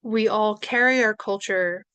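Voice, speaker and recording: A woman's voice: mid-range voice, measured pace, fairly bright tone, clear, resonant tone, no echo. A woman from New York with a New York accent, giving a recorded social work lecture in a conversational way, with fillers and small self-corrections.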